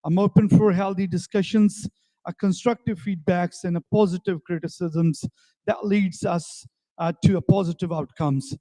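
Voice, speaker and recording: High-voiced earnest man appealing for votes. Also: steady tone, moderate pace, warm tone, low-quality recording. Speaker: council candidate. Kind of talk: campaign closing statement.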